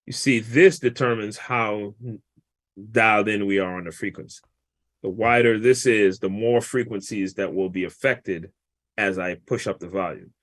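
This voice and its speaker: A man, a guest mix engineer, casually walking through a vocal mixing session in a relaxed, explanatory, colloquial way. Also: fairly low pitch, no echo.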